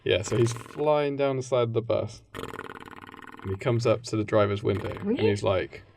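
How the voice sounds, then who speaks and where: A man's voice: fairly low pitch, measured pace, vocal fry, background noise. A British man co-hosting a podcast, telling a comic story in an informal, rambling way. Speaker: podcast co-host.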